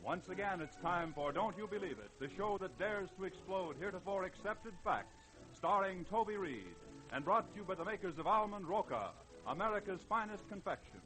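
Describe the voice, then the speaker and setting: A man's voice: high voice, expressive, brisk, over music, poor audio. A man announcing a show and its sponsor in a 1940s radio advertising style.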